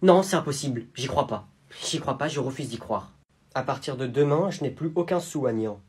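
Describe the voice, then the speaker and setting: Young man, husky and deadpan. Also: medium pitch, brisk. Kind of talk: comedy sketch acting.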